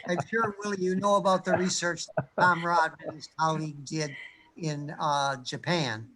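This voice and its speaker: A man on a discussion panel, telling anecdotes in a thoughtful way with frequent pauses and fillers. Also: fairly high pitch, fairly even intonation, pushed and bright, poor audio. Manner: thoughtful, many fillers, storytelling. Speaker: panel speaker, reading researcher.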